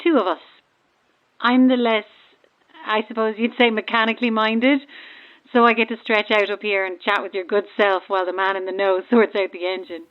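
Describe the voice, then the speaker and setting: A woman's voice: mid-range voice, little pitch movement, moderate pace. A woman playing a breezy, self-deprecating boat passenger with an Irish accent in a horror audio drama, heard through a radio filter. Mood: breezy, chatty. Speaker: caller from a stranded boat. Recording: thin, narrow-band marine radio call.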